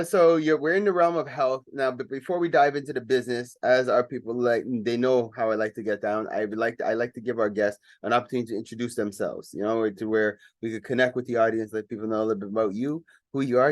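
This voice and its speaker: A man's voice: mid-range voice, fast, hardly any pauses, no room sound. A male radio host in easygoing conversation, circling back and restarting his sentences as he goes. His voice sits in a middle register and sounds close and dry, with no room around it. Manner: rambling, many restarts and fillers. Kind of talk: casual radio interview.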